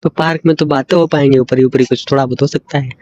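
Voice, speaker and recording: A young man with a mid-pitched voice talks casually and intimately on the phone. His manner is coaxing and teasing. The call recording sounds dull and lo-fi. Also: fairly even intonation, fast, darkish tone.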